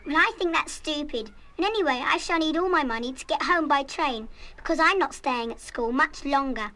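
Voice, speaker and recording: A woman's voice: high-pitched, normal speed, bright, forceful tone. An actress playing a headstrong, proud young British schoolgirl in a radio play, with an emphatic, stubborn manner. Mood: defiant. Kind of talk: full-cast radio play acting.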